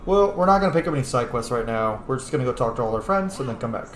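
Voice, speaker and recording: A man's voice: mid-range voice, expressive, very fast, roomy sound, slight background noise. A man giving casual playthrough commentary as a game streamer, playfully talking back to the game.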